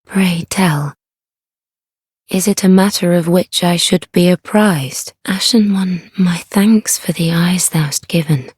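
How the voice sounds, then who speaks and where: A solemn young keeper of a sacred fire for a dark fantasy game. Her female voice is soft and fairly low, recorded dry and close. She delivers archaic, reverent lines at a slow, measured pace with quiet devotion. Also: dry recording, heavily acted. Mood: hushed, troubled. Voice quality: soft, breathy. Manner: hushed, measured, formal, reverent.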